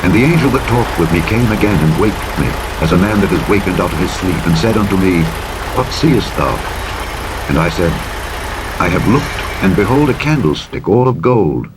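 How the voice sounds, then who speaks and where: A man reads the King James Bible aloud as a narrator, in a solemn, deliberate, declamatory style with measured phrasing. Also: low-pitched, expressive, very noisy. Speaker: scripture narrator. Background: truck driving sounds.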